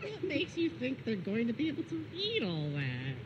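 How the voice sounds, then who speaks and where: A woman acts as an earnest, prim cartoon gardener fellow. The voice is fairly high and swings wildly in pitch, with clear noise behind it. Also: huge pitch swings, normal speed, highs cut off. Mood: playfully indignant. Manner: prim, wordy. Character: fussy, earnest male puppet gardener. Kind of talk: cartoon character voice acting.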